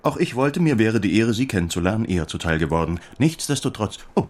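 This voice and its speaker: An actor playing a grandiloquent, theatrical middle-aged gentleman in a German radio play, declaiming in a pompous, florid manner. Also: lowish voice, sing-song, fast. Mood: ceremonious, flustered.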